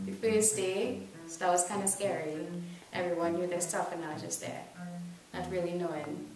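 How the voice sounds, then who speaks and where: A woman's voice: fairly low pitch, fairly even intonation, moderate pace, darkish tone. A woman singer talking candidly and a bit hesitantly in an interview. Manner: hesitant, candid about nerves. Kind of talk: casual interview. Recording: distant, very echoey, noisy room.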